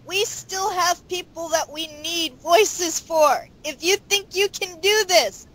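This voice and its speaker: A woman's voice: very high voice, takes it slowly, fairly bright tone. A woman chatting casually in a group interview as a voice actor. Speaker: voice actor and series co-creator. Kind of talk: casual chat.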